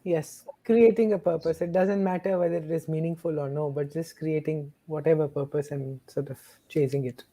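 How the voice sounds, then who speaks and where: Panel guest, mellow and warm timbre, conversational answers. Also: medium pitch, fairly even intonation, normal speed, hardly any pauses. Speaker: panelist, employer.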